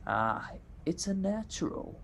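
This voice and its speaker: An actor playing a greedy, none-too-bright small-time tough guy in an old-time radio crime drama, talking in streetwise slang. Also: high voice, very animated, vocal fry, slight background noise, exaggerated voice. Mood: greedy, excited. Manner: drawn-out streetwise gangster slang.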